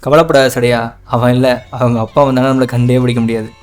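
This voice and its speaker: A man's voice: medium pitch, steady tone, fast talker, darkish tone, natural voice. An actor voicing a scheming young male impostor posing as a prince in a cartoon, smug and confident. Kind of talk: cartoon voice acting.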